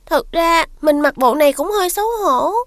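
A woman's voice: high voice, moderate pace, no room sound, studio quality, natural voice. A woman voicing a shy, earnest young schoolgirl who explains things carefully, in a children's cartoon. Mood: earnest, embarrassed.

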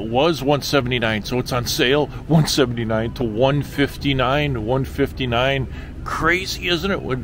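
A man's voice: medium pitch, fairly bright tone. A middle-aged man giving casual, exclamatory, incredulous commentary as he vlogs, often repeating himself, on a handheld camera in a large store. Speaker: vlogger. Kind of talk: casual running commentary on grocery prices. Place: large supermarket, indoors. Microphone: handheld camera at arm's length. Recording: noisy store ambience. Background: store background noise.

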